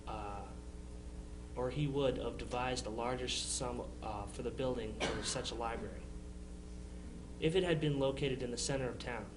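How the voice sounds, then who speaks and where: A man playing a chatty nineteenth-century villager, reading a letter aloud in a halting way with repeated phrases. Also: medium pitch, noisy background, natural voice. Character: male 1860s villager writing chatty news to his brother. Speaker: amateur reader in a historical society dramatization.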